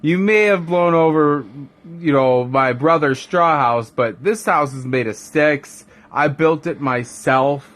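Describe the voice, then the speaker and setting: A man voicing a cocky young storybook pig who talks back in a casual, deadpan way. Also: a little high, slow pace. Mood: defiant.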